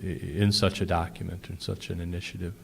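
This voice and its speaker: A man giving a public comment at a city planning open house, halting with many pauses and restarts. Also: sits low, little pitch movement, creaky, darkish tone, slightly rough. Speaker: resident commenting at public meeting.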